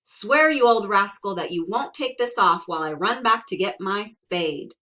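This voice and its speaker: A woman's voice: medium pitch, expressive, moderate pace, pushed and bright, voice pushed up. A woman voicing a clever, cocky young farm lad who talks eagerly and boastfully. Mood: excited.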